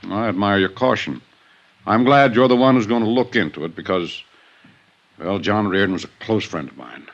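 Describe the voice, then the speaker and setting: Male actor as older radio-drama tycoon. American, low, formal, insistent, swooping emphasis; dull vintage sound. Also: normal speed, natural voice. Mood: insistent, certain. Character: self-assured, insistent older business executive. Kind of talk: 1950s radio drama acting. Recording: dull, band-limited old radio recording.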